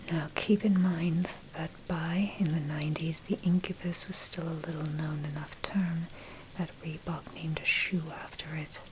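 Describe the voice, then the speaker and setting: A woman narrator reading a scripted history essay as ASMR in a breathy, unvoiced whisper, measured and deliberate. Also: low-pitched. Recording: noisy track with rain and thunder. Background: rain and thunder ambience.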